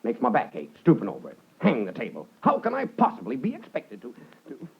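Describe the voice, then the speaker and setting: Cranky complaining clerk, voice jumps all over, muffled old radio play. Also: male voice, mid-range voice, huge pitch swings, brisk, vocal fry, husky, heavily acted. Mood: irritated. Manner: peevish, grumbling. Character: irritable, complaining male copying clerk. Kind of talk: 1950s radio acting. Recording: dull, noisy 1950s radio recording.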